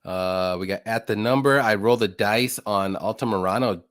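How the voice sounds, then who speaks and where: A man in his late thirties hosting a casual live stream, chatty and quick to greet viewers by name. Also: on the low side, fairly bright tone. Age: 30s. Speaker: host of a betting picks show.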